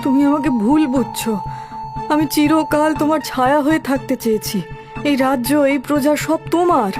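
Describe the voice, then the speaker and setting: A woman's voice: high voice, moderate pace, natural voice. A woman playing a devoted, dignified landlord's wife in a cartoon, in an earnest, melodramatic manner. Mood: pleading. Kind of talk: Bengali cartoon voice acting. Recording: music behind the voice.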